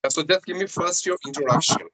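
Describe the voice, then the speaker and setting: A man's voice: on the high side, quick pace, pushed and bright, no room sound, rough recording. A man with an Indian English accent, coaching English in an earnest, explanatory way on a video call. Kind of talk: online conversation practice.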